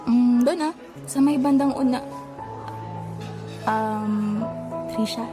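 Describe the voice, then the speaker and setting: Young Filipino bride, warm, playful chatterer, slightly high, audio drama with loud music. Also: female voice, slow. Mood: considerate. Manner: playful, gossipy, casual.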